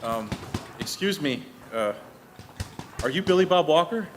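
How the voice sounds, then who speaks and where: A man plays a wrestling-mad man of twenty, higher-pitched and lively, stumbling eagerly over his words. A long hall reverb sits on the voice. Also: unhurried, noisy background. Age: 20s. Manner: hesitant, eager. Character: earnest young man obsessed with wrestling.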